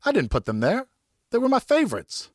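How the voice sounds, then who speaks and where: A man voicing an earnest, fussy married French marquis in audiobook dialogue, with an expressive, plaintive manner. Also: very high voice, fairly quick, no echo, studio quality, voice pushed up, heavily acted. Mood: aghast, plaintive.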